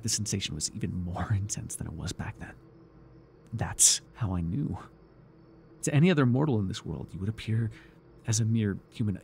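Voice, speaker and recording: A man playing a devoted, obsessive, fawning cult follower in a theatrical, halting ASMR roleplay. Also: on the low side, darkish tone, slight background noise. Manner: theatrical, breathy sighs, nervous chuckles, halting. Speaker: voice actor.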